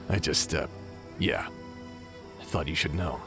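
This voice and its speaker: A man's voice: extremely low pitch, vocal fry, music in the background, exaggerated voice. A man playing a remorseful former space pirate captain and awkward brother in a dramatic sci-fi audio roleplay. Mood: remorseful, hesitant. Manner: halting, sighing, hesitant delivery.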